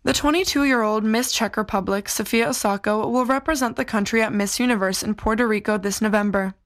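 A woman's voice: fairly high pitch, brisk. Woman radio presenter reading a script.

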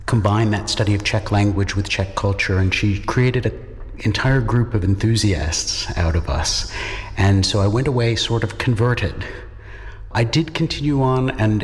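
Reflective low-pitched middle-aged man, echoey hall. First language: English. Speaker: university linguistics lecturer. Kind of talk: thoughtful interview answers. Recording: echoey hall with long reverb.

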